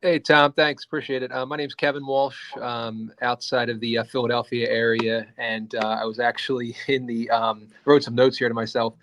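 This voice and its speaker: A man, a guest on an interview, telling his story in a steady, unhurried way. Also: medium pitch, noisy background. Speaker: interview guest, jet broker. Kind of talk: casual interview talk.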